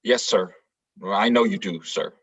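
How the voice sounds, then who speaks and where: A man's voice: on the low side, measured pace. A man acting a stoic, dutiful Marine corporal in a stage play read-through, speaking with clipped, formal military deference. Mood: respectful, resolute. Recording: distant, slightly dull microphone.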